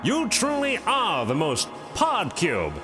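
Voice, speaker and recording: A man playing an odd, obsessive rambler in absurdist comedy audio, halting and trying out different inflections. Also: sits high, wildly swooping pitch, music in the background, exaggerated voice. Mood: dreamy, hesitant.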